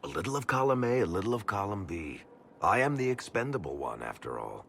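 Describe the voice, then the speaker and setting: A man's voice: low voice, sing-song, fairly quick, gravelly, slight background noise, full character voice. An actor playing a worldly male dwarf rogue in a video game, dry, guarded and self-deprecating. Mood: wry. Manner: dry, wry. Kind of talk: video game cutscene acting.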